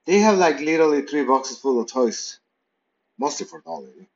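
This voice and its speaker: Animated man telling a playful pet story. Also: a little high, moderate pace, highs cut off. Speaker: pet owner narrating. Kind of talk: first-person storytelling from a pet's view.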